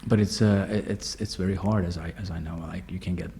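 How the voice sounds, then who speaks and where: A man's voice: low voice, fairly even intonation, fast talker, vocal fry, mellow, slight background noise. A man hosting a casual interview, asking loose questions full of fillers and restarts. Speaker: host.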